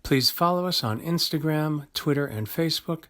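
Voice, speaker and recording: A man's voice: on the high side, animated, normal speed, a bit bright. A man reads a scripted closing announcement for an organization.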